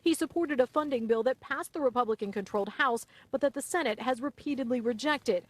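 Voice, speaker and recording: An American woman delivering a live TV news report in a measured, clearly enunciated way. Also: high voice, dry recording. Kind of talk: live broadcast news report, scripted narration.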